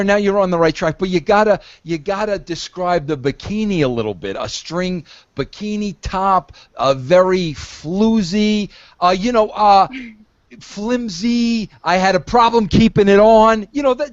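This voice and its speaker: Podcast host, male, 50s, pushy and lively, dull dry audio. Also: high voice, normal speed, no echo. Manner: pushy, coaxing, repeats himself. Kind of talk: casual podcast chat.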